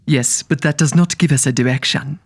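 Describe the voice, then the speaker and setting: A man's voice: fairly high pitch, brisk, no echo. A man voicing a dignified, poetic zebra with formal, sing-song phrasing in an audio drama. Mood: solemn.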